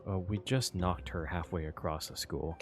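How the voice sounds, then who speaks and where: A man's voice: low-pitched, fairly even intonation, moderate pace, over music. A man streaming a video game, giving casual reaction commentary as he plays.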